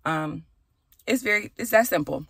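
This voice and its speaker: A woman in her thirties hosting a podcast from her car, chatty and raspy, saying a prayer for her listeners. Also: deep voice, expressive, normal speed, dry recording. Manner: chatty and warm, with "y'all" and "okay". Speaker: podcast host. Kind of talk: casual chat with prayer.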